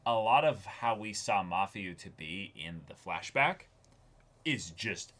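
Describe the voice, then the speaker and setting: A man's voice: fairly low pitch, lively intonation, measured pace, fairly bright tone. A man co-hosting an anime discussion in a casual, thoughtful way, often feeling his way through a sentence. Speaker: co-host of an anime reaction show.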